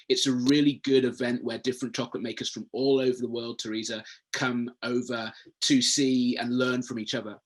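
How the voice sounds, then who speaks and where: A man hosts a webinar panel over a video call. His voice is husky and sits at an ordinary pitch. He talks in a friendly, rambling way and keeps restarting his sentences. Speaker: webinar moderator. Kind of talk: casual panel chat.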